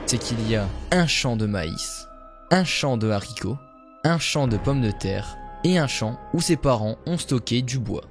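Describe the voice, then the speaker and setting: A man narrating paranormal stories in a steady, measured storytelling voice. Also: medium pitch. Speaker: YouTuber.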